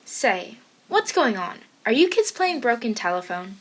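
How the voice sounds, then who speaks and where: A woman's voice: a little high, very animated, unhurried. A woman playing a brash, grumbling visitor in a 1940s-style radio comedy. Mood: nosy, playful.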